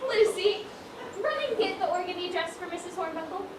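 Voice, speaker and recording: A woman's voice: very high-pitched, a little fast. A woman on stage playing a flustered, polite widow who keeps a dress shop in an amateur comedy. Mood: flustered politeness. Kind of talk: amateur one-act stage comedy acting. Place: stage in a large echoey room. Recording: echoey stage, noisy, far-off mic.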